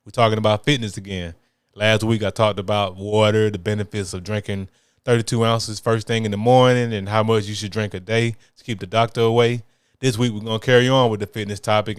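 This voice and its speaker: A man's voice: on the low side, smooth, no echo, clean studio sound. A man hosting a podcast, talking in an upbeat, slangy, conversational way. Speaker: podcast host. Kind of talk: casual podcast talk.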